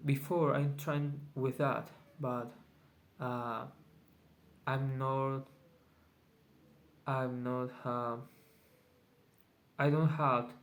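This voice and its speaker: Man, native Spanish speaker, very slow, halting English, many pauses, mid-pitched, casual video diary. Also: fairly bright tone. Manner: hesitant, searching for English words, many pauses. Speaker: vlogger.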